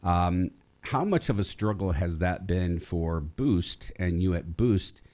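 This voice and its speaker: A man hosting a conversational radio interview, talking in a halting way as he thinks aloud. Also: low-pitched, expressive, darkish tone. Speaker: radio show host interviewing a former student.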